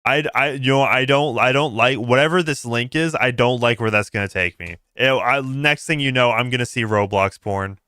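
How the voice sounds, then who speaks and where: A man hosting a casual livestream, reacting aloud in a halting way, repeating words and breaking off mid-sentence. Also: medium pitch, pushed and bright, clean tone, dry recording, clean studio sound. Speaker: livestream host. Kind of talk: casual reaction stream commentary.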